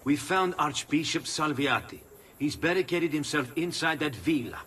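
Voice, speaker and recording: An actor playing a commanding military ally giving orders in a video game. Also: male voice, on the high side, lively intonation, normal speed, a bit bright, slight background noise.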